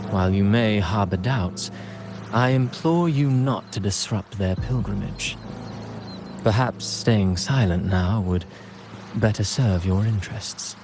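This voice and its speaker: A man's voice: fairly low pitch, slow pace, over music. A man playing a suave, courteous playwright in a video game, speaking with polite, formal eloquence. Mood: smooth, courteous. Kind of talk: video game character acting.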